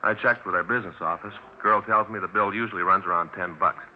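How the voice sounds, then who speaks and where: A man's voice: deep voice, steady tone, quick pace, lightly acted. An American man playing an easygoing, plainspoken police detective in a 1950s radio drama, with a conversational manner. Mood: matter-of-fact. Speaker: police officer. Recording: dull, slightly noisy 1950s radio recording.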